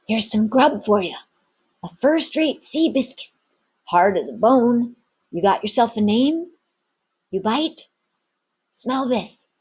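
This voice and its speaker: A plucky young ship's boy from an adventure storybook, played by a woman. He sounds earnest and urgent, frightened yet resourceful, in a lightly husky voice with seafaring turns of phrase. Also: a little high, lively intonation, slow pace, pauses often, darkish tone, voice pushed up, heavily acted. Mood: wary coaxing.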